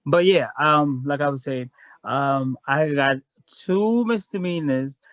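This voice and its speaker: A man's voice: a little high, slow, a bit bright, dry recording, lo-fi sound. A man from Guyana, a guest in a casual chat, telling a long story in a frank, rambling way.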